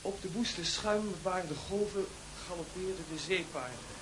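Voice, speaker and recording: Reluctant schoolboy male actor, radio drama: high voice, indignant pupil at a recital. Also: moderate pace, background noise, natural voice.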